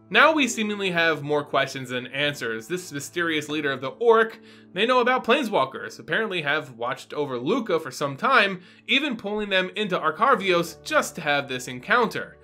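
A man's voice: a little high, sharp tone. A man, narrating a story recap to camera in an emphatic storyteller's delivery. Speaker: YouTube host. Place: small home room, indoors. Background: background music.

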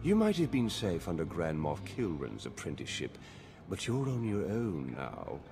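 A proud, menacing dark lord speaks low and formally, with hugely swinging intonation. Loud game music sits behind him. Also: male voice, huge pitch swings, normal speed, full character voice. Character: proud, threatening male Sith lord. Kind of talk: video game villain acting.

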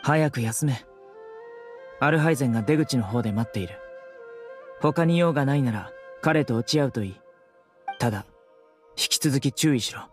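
An actor playing a stern, dutiful but considerate guard officer in an anime game, firm, terse and commanding. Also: male voice, mid-range voice, animated, moderate pace, long pauses, faint music behind, natural voice. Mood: firm, businesslike. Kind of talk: anime video game voice acting.

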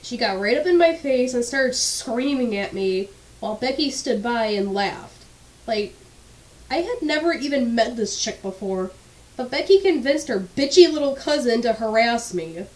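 A woman's voice: fairly high pitch, lively intonation, a little slow, rough recording. A young woman with a slightly stuffy, congested voice, casually narrating a personal story with blunt, candid remarks and casual swearing.

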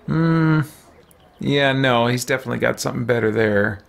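A man in his thirties giving casual, unhurried game commentary, musing aloud and often hesitating. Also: mid-range voice, gravelly. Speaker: streamer playing a role-playing game. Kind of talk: casual let's play commentary. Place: small indoor room with shelves, at a desk.

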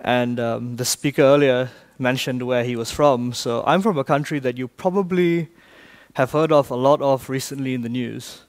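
Guy from malaysia talking tech at a conference, keeps asking the room questions, big hall. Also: mid-range voice. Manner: brisk, quizzes the audience often. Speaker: conference speaker. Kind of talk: technical conference talk.

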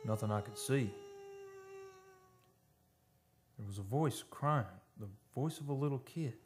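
An actor playing a haunted oil driller in a radio drama, telling his story in a folksy, halting, suspenseful way. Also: mid-range voice, noisy background, natural voice. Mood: uneasy. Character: haunted male oil driller recounting a terror. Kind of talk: live old-time radio drama monologue. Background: live dramatic music.

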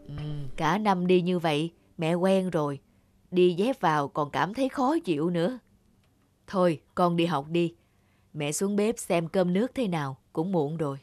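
A woman voicing a humble, caring middle-aged village mother in an animated moral tale, speaking plainly and hesitantly, shy and self-effacing. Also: fairly low pitch, moderate pace, no echo, close to an everyday voice. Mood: gentle, evasive.

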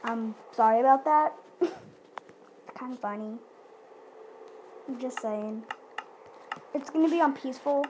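A woman's voice: high voice, darkish tone, a little hiss or hum, low-quality recording. A woman giving casual, playful, chatty commentary during a video game roleplay. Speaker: gaming video host.

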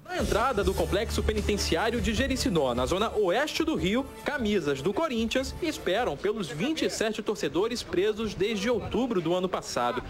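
A young man reading a scripted news report in a measured, formal manner. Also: high voice, sing-song, music in the background.

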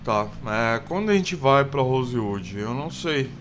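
Slow rambling Brazilian man gaming. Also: medium pitch, slight background noise. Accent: Brazilian Portuguese. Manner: relaxed rambling with many fillers. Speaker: gameplay video host. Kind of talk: casual let's play commentary.

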